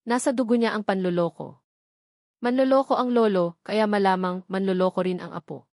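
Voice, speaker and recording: A woman's voice: mid-range voice, fairly quick, darkish tone, dry recording, natural voice. An emphatic, accusing young heiress out for revenge in a story drama, acted out by a woman narrator. She sounds triumphant, in a dry, close recording. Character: vengeful, triumphant wealthy young woman in a family feud.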